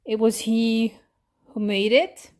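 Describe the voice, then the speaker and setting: A woman with a Dutch accent casually explaining a craft project, pausing often with fillers and correcting herself as she goes. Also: fairly high pitch, steady tone, a little mellow. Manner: hesitant, many pauses and fillers, self-correcting. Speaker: hobby crafter showing a miniature build. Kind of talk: casual show-and-tell explanation.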